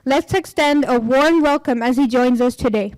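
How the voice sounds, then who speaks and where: A woman's voice: high voice, moderate pace, darkish tone, poor audio. A woman hosting a university workshop, reading a formal, ceremonious introduction of the speakers. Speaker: event host.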